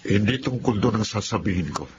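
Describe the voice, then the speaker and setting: A man's voice: lowish voice, lively intonation, fairly quick, muffled recording, background noise, natural voice. A Filipino actor playing a grave man breaking bad news in a radio drama, solemn and hesitant.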